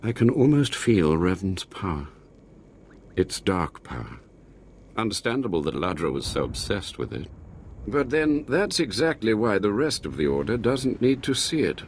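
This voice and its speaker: An actor playing an earnest, anxious scholar of a secret order in a video game, speaking expressively. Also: male voice, fairly low pitch, big pitch swings, moderate pace, a little hiss or hum. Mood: anxious, awed.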